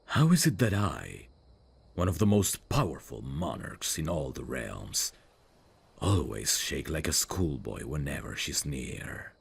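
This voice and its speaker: A man playing a brooding dark fantasy prince in an intimate ASMR roleplay, tender and lovesick, with a husky, gravelly voice and a hushed, formal delivery. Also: very deep voice, very animated, heavily acted. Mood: anxious longing. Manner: slow, hushed, formal and halting. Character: brooding evil prince, tender and lovesick toward old friend. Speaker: voice actor.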